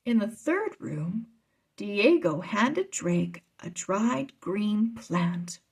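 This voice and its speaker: A woman's voice: mid-range voice, very animated, very slow, gravelly, darkish tone, breathy edge. A woman reading a children's chapter book aloud as narrator. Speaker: storybook reader.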